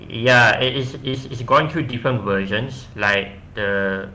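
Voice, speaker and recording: A man answering questions after his own tech presentation over a video call, explaining haltingly with frequent restarts. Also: mid-range voice, pushed and bright, slight background noise, low-quality recording. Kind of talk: casual tech talk Q&A.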